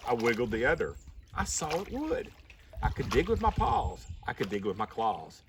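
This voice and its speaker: A man reading a rhyming children's story aloud in an expressive storybook style. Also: mid-range voice, moderate pace. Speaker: storybook reader. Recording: slightly distant mic, faint background noise.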